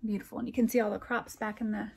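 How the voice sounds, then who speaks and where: A middle-aged American woman reads a picture book aloud, adding expressive, wondering comments for young listeners. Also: medium pitch, racing, creaky, background noise. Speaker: storytime reader and teacher.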